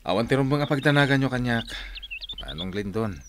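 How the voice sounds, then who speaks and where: A man's voice: medium pitch, animated, a little fast, a bit bright, slight background noise, lightly acted. A man with a Filipino accent playing a wounded but uncomplaining loyal fighter in a radio drama, speaking deferentially. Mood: stoic.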